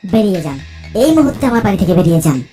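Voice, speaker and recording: A woman's voice: on the low side, sing-song, rapid-fire, mellow. A woman voicing a principled male journalist in a cartoon, firm and indignant. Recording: faint music behind the voice.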